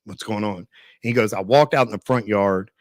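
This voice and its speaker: A man's voice: lowish voice, fairly quick, no room sound, clean studio sound. A man telling a story casually and animatedly, with slangy, emphatic phrasing and frequent repetition. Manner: slangy, emphatic, repeats phrases, says "bro" and "dude". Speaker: podcast storyteller.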